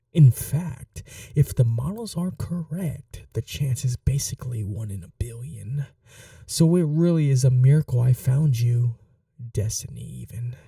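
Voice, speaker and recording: Dark, creaky, obsessive vampire, acted out in ASMR. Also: mid-range voice, no room sound, recorded from a distance, full character voice. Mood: grave, fascinated. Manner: hushed, slow, lingering, often dropping into whispers. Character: obsessive possessive starving vampire, smitten with listener's blood. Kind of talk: ASMR roleplay monologue.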